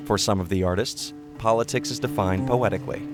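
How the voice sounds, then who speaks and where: A man narrating an arts news report in a measured, even way. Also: on the low side, over music. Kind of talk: scripted narration.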